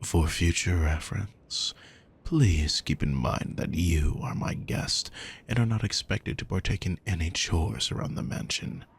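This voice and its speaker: A man playing a possessive, menacing aristocratic villain in a roleplay audio, with a husky, gravelly voice and a condescending, sardonic manner, speaking intimately to the listener. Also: sits low, unhurried, a little hiss or hum. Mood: darkly amused. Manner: smooth-worded, condescending, darkly sardonic.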